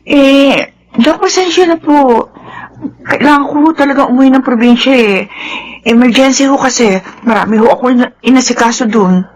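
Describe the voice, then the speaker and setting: A woman's voice: high voice, moderate pace, long pauses, natural voice, poor audio. A Filipino actress playing an earnest young woman in a radio drama. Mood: apologetic.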